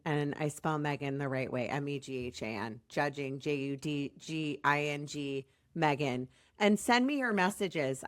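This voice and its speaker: An American woman hosting a podcast in a chatty, rambling, self-deprecating way, her voice slightly raspy as it recovers from being lost. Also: sits low, a bit bright, dry recording, clean studio sound. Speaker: podcast host.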